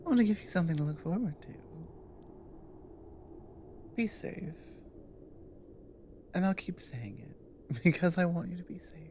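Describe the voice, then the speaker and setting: A woman voicing a big, affectionate female orc companion in an intimate ASMR roleplay, speaking softly and slowly in a breathy half-whisper. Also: on the low side, sing-song, a little hiss or hum. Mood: affectionate, protective. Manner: slow, intimate, tender.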